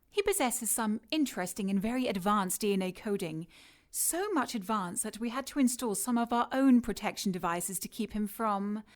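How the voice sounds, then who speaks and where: An actress playing a condescending scientist villain in a radio drama, clinical and taunting as she addresses her captive in an unhurried way. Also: a little high, lightly acted. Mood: smug, probing.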